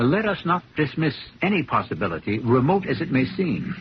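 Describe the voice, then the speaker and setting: A man acting as the urbane, philosophical host of a radio mystery, narrating in a deliberate, sardonic manner. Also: mid-range voice, slight background noise, lightly acted. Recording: dull 1970s radio broadcast recording. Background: music.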